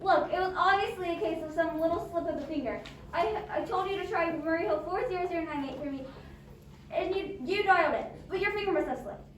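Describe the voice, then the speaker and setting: Echoey far-off recording, lady playing a really high-voiced nervy bossy invalid wife, old radio play style. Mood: exasperated. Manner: agitated, halting, insistent. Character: nervous, imperious, demanding invalid wife on the telephone. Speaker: amateur drama club actor. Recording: echoey, distant, noisy amateur recording.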